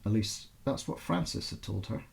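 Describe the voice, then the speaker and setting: Man reading as a guarded, creaky-voiced anxious mother. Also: lowish voice, expressive, normal speed, darkish tone. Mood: anxious inner thoughts. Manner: guarded, feigning casual indifference. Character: wary, anxious Romanian mother on the run.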